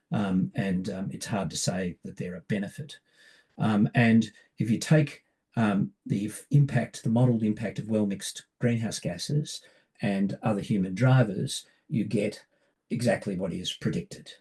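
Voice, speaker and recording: A man giving a public lecture, croaky from a cold, measured and often pausing with ums. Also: on the low side, warm tone. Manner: measured, hesitant with many ums. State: hoarse from a cold. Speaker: barrister giving a public talk.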